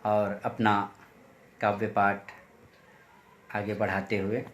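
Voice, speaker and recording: A man's voice: little pitch movement, a bit bright, a little hiss or hum. A man from Chhattisgarh at an online poetry session, greeting and thanking each listener by name in a formal, respectful manner. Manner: sings high and drawn out, spacing each phrase slowly. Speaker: poet. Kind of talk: sung Hindi poem, then spoken greetings at an online poetry session.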